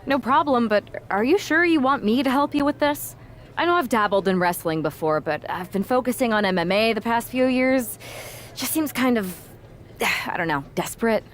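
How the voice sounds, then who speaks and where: A woman's voice: on the high side, expressive, normal speed, a little hiss or hum, lightly acted. A woman playing a loyal female MMA fighter in a video game, speaking casually with dry, teasing humor. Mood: hesitant. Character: wry, loyal female MMA fighter, lifelong best friend. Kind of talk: cinematic game dialogue, casual.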